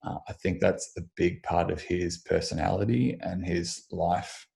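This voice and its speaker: A young Australian man, a physiotherapist, answering interview questions in a measured way, slightly croaky in the morning, restarting his sentences often. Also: deep voice, fairly even intonation, mellow. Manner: measured, with frequent restarts and ums. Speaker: physiotherapist guest.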